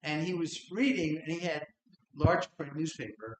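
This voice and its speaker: A middle-aged man with a voice pitched a little high talks in a conversational way, chuckling through his stories. He speaks on a panel in a library room, and the room's echo carries his voice. Faint noise runs underneath. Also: moderate pace. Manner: conversational, laughs while telling stories. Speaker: memoir author on a panel. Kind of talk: casual panel storytelling.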